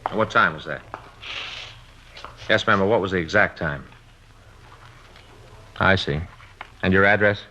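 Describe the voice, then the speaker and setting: An actor playing a plain-spoken, slightly grumbling police detective in an old radio drama, with a casual, routine manner. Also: male voice, low-pitched, measured pace, pauses often, pushed and bright. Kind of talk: 1950s radio drama acting. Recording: dull, faintly noisy old radio recording.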